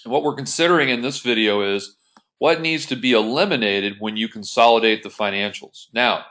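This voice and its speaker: A man's voice: mid-range voice, a little fast, sharp tone. A man teaching as an instructor in an explanatory tutorial, methodical and informal in his delivery. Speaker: accounting instructor. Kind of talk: explanatory screen-share tutorial.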